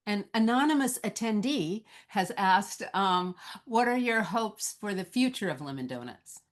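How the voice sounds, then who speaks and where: A woman's voice: medium pitch, expressive, unhurried. A woman moderating a conversational question-and-answer session and putting questions to a guest.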